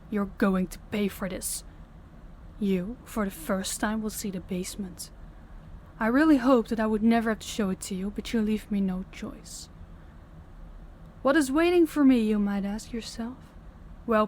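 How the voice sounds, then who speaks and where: Woman doing a stern dominant mafia boss lady for an audio roleplay, formal n deliberate, medium pitch. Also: a little mellow, a little hiss or hum, lightly acted. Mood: cold, menacing.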